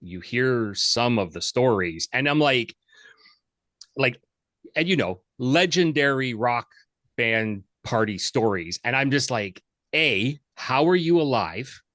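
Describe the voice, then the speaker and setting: A man hosting a casual interview, asking long, halting questions with many restarts. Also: mid-range voice, animated, fairly bright tone, no room sound, clean studio sound. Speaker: podcast host.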